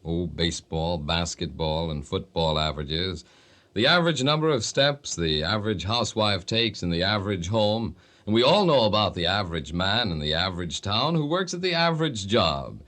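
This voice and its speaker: Wry 1950s radio announcer, swooping low voice. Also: male voice, expressive, normal speed, a bit bright. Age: 30s. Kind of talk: 1950s radio announcing, scripted record introduction.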